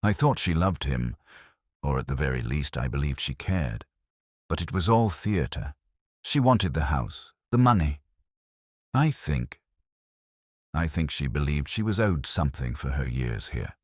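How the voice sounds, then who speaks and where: A man performs a shaken, remorseful gentleman in an audio detective tale. The voice is very deep and hoarse, halting and subdued yet formal. It is recorded dry. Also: lively intonation, dry recording, full character voice. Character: shaken, remorseful male servant of the law, pale and stiff.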